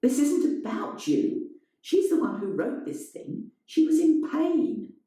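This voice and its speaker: A woman's voice: high voice, very animated, slow, warm tone, distant mic, voice pushed up, heavily acted. A woman voicing a defiant woman theatre producer in a dramatised short story, pressing and challenging. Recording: strongly echoing, roomy sound.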